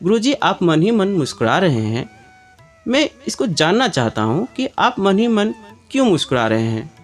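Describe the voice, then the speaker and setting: A man's voice: high-pitched, very animated, normal speed, pitched above the performer's own voice. A man voicing an eager, respectful young male disciple asking questions in a storybook tale. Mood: curious. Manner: respectful, inquiring. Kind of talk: storybook narration dialogue. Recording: background music under the voice.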